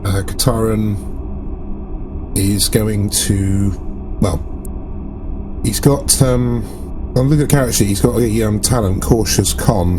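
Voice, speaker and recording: A man's voice: on the low side, background noise. A man chatting casually as a player in a tabletop role-playing game.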